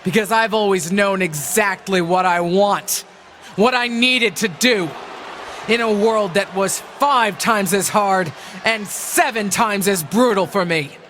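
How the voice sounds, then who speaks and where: A woman's voice: mid-range voice, takes it slowly, fairly bright tone, a little hiss or hum. A woman playing a bitter, scheming older wrestling legend in a video game, taunting and condescending like a promo. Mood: condescending, proud. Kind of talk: dramatic wrestling promo acting.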